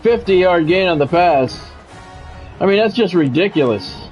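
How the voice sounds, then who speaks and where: A man's voice: high voice, lively intonation, moderate pace, a little mellow, lo-fi sound, soft background music. A man doing live play-by-play of a sports video game, switching between announcer-like calls and casual asides to viewers. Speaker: streamer and play-by-play commentator. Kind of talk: live sports game commentary mixed with chat.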